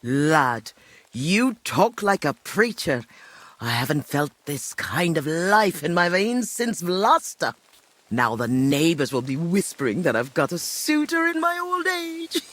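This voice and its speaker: An actress playing a frail, wistful old village widow in a medieval video game, speaking in a halting, fond way. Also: female voice, fairly low pitch, sing-song, fairly bright tone, heavily acted. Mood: delighted. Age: older. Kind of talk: dramatic video game dialogue.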